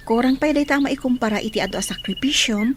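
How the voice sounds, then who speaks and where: A devoted younger sister in a radio drama, acted by a woman, with a high, earnest voice and a Filipino accent. She sounds sincere and dutiful, and there is clear ambient noise with birds behind her. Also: quick pace, natural voice. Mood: tender, grateful. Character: devoted younger sister, a student who becomes a worker, earnest.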